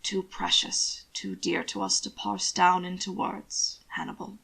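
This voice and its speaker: A woman voicing an old, somber duke and grandfather, with a weary, low, formal delivery. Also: flat delivery, creaky, pushed and bright, slightly rough, exaggerated voice, low-quality recording. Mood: somber, wistful. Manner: slow, formal, wistful.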